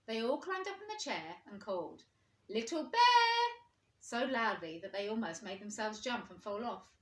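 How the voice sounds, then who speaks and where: A woman reads a children's story in a very animated, expressive way, as a teacher would. Her pitch is mid-range and moves freely. The room adds a noticeable echo. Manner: expressive, pauses to ask listeners questions. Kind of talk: storybook read-aloud for young children. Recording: roomy, echoing indoor sound.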